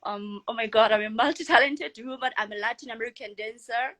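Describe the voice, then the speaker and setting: Young woman, kinda high voice, confident and chatty, laughs easy. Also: normal speed. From Lesotho. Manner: confident, expressive, laughs often. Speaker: guest, model and entrepreneur. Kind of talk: casual interview answers.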